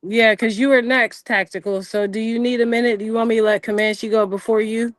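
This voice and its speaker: A woman's voice: on the high side, steady tone, fairly quick, no room sound. Woman host moderating a live chat. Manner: calls on speakers, smooths conflict. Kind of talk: moderating a live audio room.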